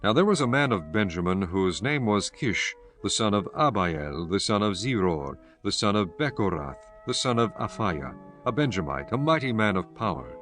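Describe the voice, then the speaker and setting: A man narrating scripture from a script in a measured, formal manner. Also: fairly low pitch, very animated, a bit bright, soft background music.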